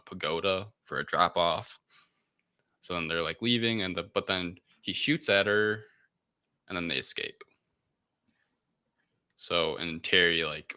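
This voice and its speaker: A man with a low-pitched voice and a very bright, forceful edge talks as a podcast co-host. He recaps loosely and irreverently, wandering through the details in casual chat. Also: clear, resonant tone. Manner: rambling, offhand, irreverent.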